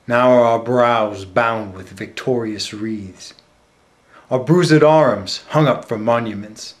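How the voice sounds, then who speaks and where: A man playing a bitter, scheming, deformed royal villain in a deliberate Shakespearean stage monologue. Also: on the low side. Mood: grandly sardonic.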